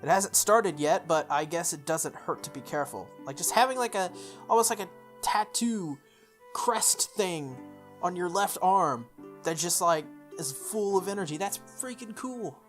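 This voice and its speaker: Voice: medium pitch, lively intonation, moderate pace. Casual game streamer reading aloud over music. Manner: informal, slips into offhand asides. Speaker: video game streamer doing a playthrough. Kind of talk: reading visual novel text aloud with casual side comments.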